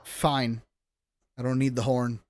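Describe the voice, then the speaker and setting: A woman's voice: a bass voice, little pitch movement, slow pace, background noise. A woman giving casual live commentary as a game streamer, informal and sweary, reacting as she goes.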